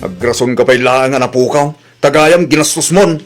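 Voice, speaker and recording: A man's voice: mid-range voice, normal speed, over music, close to an everyday voice. A man with an Ilocano accent playing an angry, exasperated husband in a comedy radio drama. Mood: angry scolding. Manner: scolding, accusing, exasperated. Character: angry husband whose savings were spent.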